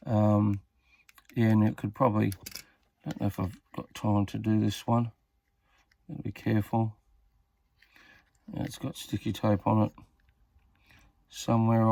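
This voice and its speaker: A man's voice: on the low side, creaky, a little mellow, no room sound. A man giving a casual hands-on repair tutorial, talking tentatively and muttering as he works. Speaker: hobbyist demonstrating a radio repair.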